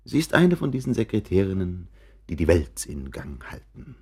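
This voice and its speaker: A man's voice: deep voice, sing-song, moderate pace, creaky, darkish tone, slightly rough, heavily acted. A man playing a chatty, confiding narrator in a radio play, musing and interrupting himself with asides. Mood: amused, musing. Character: chatty, confiding male narrator showing listeners around an apartment.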